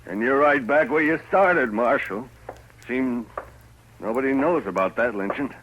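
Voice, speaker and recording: An American actor playing an arrogant, threatening rich townsman in a 1950s radio western, gruff, curt and imperious. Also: medium pitch, a little slow, lo-fi sound, lightly acted. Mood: sullen. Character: arrogant, threatening rich frontier town big man. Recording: noisy old 1950s radio recording.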